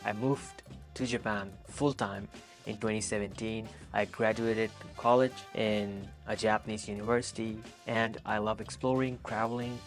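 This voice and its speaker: Young man in his twenties, soft-spoken vlogger, low monotone voice, faint music behind. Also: moderate pace. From Pakistan. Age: 20s. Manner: soft-spoken, earnest, with frequent "like" fillers. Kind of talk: casual Q and A monologue.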